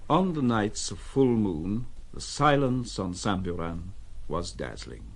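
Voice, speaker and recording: A man narrating an audio drama in a measured, deliberate and dramatic literary storytelling style. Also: fairly low pitch, sing-song, gravelly, slightly rough.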